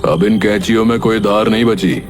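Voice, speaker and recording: A man's voice: on the low side, fairly even intonation, moderate pace, long reverb tail, faint music behind, close to an everyday voice. A man voicing a loyal, scheming barber's sidekick in a cartoon story. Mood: conspiratorial. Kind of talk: Hindi cartoon moral-story acting.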